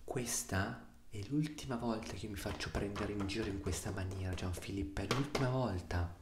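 A man in his twenties playing an exasperated father scolding his teenage son in a hushed ASMR roleplay, with a reproachful, repetitive manner. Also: lowish voice, moderate pace, background noise, lightly acted. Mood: indignant scolding. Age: 20s. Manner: hushed, reproachful, repetitive, sometimes dropping to a whisper. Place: small room at home. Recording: roomy indoor echo.

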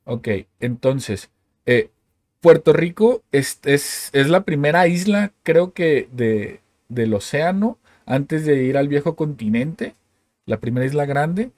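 A man's voice: on the high side, animated, slow, a little mellow, no room sound. A man in his thirties hosting a podcast, asking curious questions and thinking aloud with fillers. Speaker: podcast host. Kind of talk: casual interview questions. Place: home room, indoors. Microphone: studio mic on a stand, with headphones.

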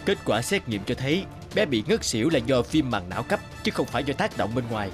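A man voicing a stern, reproachful doctor in an animated drama, brisk and authoritative. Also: medium pitch, soft background music, close to an everyday voice.

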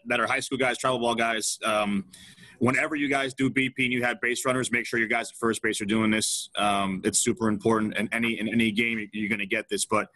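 Fast-talking coach on a video call. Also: male voice, medium pitch, sharp tone, no echo, low-quality recording. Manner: fast, emphatic, restarts often. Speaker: baseball coach. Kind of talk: video call panel discussion. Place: indoor office with ball caps on wall. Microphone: video call webcam.

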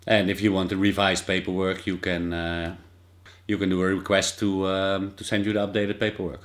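A Dutch man in his thirties talks through a how-to explanation in a relaxed vlog. His voice sits somewhat low, and he pauses often with fillers and restarts. He is recorded by a desk camera in a small indoor office. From Netherlands. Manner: hesitant, many fillers and restarts. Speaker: vlogger. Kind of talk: casual informative vlog.

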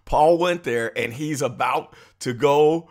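A man's voice: fairly high pitch, slow, darkish tone. A middle-aged American man hosting a casual reaction commentary, slangy and amused in manner. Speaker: political commentary video host.

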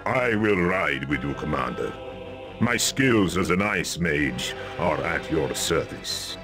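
A grave old wizard of ice from a fantasy game. His voice is extremely deep and he talks very slowly and solemnly, with music behind him. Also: animated, full character voice. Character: grave old bearded male ice mage. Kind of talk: fantasy video game cutscene acting. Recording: loud music under the voice.

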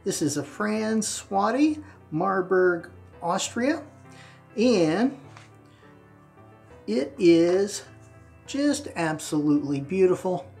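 A man in his fifties presenting an instructional demonstration to camera in a deliberate, emphatic way, recorded in a home bathroom. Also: sits high, big pitch swings, gravelly, a little mellow, soft background music. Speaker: presenter of a wet shaving channel. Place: small tiled home bathroom, indoors.

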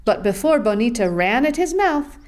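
A woman's voice: on the high side, sing-song, normal speed, darkish tone. A woman narrating a scripted children's story as the narrator of a puppet show.

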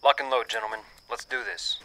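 An actor playing a brisk, commanding military team leader in an audio drama. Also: male voice, mid-range voice, fairly even intonation, bright, forceful tone, a little hiss or hum, natural voice. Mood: businesslike. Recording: long hall reverb, prominent music underneath.

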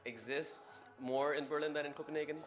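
A man's voice: a little high, fairly even intonation, fairly quick, a bit bright, soft background music. Young man hosting street interviews. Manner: friendly, asks short follow-up questions. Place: outdoors on a city street at night, then in a cafe. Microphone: handheld mic held toward guests.

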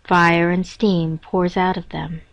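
A woman reading a scripted guided meditation in a measured, instructing manner with pauses between phrases. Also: on the low side, little pitch movement, vocal fry. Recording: dull, roomy echoing lo-fi recording.

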